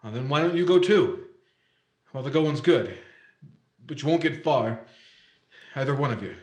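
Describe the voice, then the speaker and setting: A cool, sneering gunman taunts his hostage in a vintage radio thriller, played by a man. His tough-guy drawl is smug and sarcastic, at a middle pitch. The room gives his voice a roomy echo. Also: expressive, moderate pace, close to an everyday voice. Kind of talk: 1950s radio drama acting.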